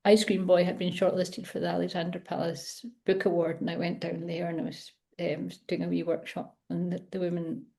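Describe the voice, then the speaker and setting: Scottish lady author chatting away, lots of laughs, gravelly and mellow. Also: lowish voice, level tone, brisk, hardly any pauses. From Scotland. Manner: chatty, laughs often, self-mocking. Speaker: children's author. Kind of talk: casual interview chat.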